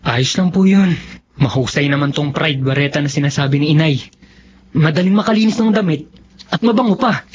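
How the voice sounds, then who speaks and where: A man's voice: fairly high pitch, fairly quick, lightly acted. A young male actor playing a devoted, desperate son in a radio drama, speaking earnestly and emotionally. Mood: respectful, determined. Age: young adult. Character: devoted, desperate young son turned drug seller, defensive. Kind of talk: Tagalog radio drama acting. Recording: dull lo-fi audio, faint background noise.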